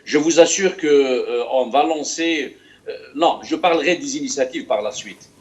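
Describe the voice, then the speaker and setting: A man's voice: a little high, normal speed. A man from Algeria speaking as a guest in a political discussion, emotional and insistent. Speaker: guest from the diaspora, called on by the host.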